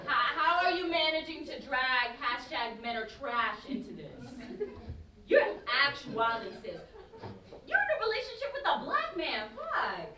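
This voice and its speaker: A woman's voice: sits high, big pitch swings, unhurried, pushed and bright, heavily acted. An actress in a staged play reading, playing an exasperated, teasing young woman who talks in emphatic slang. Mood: incredulous. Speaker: student actor in a staged reading. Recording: roomy live stage, distant mic, background noise.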